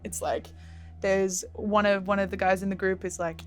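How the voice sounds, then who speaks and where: A woman's voice: medium pitch, flat delivery, normal speed. A woman singer, chatting enthusiastically with many fillers in an interview. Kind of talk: casual interview. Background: faint music.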